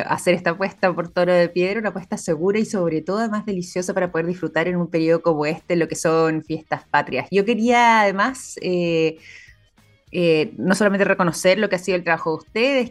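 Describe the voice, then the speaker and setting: A woman with a Chilean accent hosting a relaxed morning interview, chatty and full of filler pauses. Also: lowish voice. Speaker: host.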